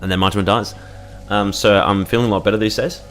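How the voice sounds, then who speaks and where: A man's voice: lowish voice, steady tone, quick pace, faint music behind. A man streaming a game, talking casually and rambling, with sarcastic jokes between his comments. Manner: joking, rambling, sarcastic asides. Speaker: gaming streamer.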